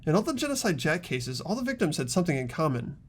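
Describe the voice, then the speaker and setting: A man voicing an earnest, polite teenage boy detective in a game, reasoning aloud in a deliberate, explanatory way. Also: on the high side, big pitch swings. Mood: thoughtful, earnest. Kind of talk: amateur game voice acting.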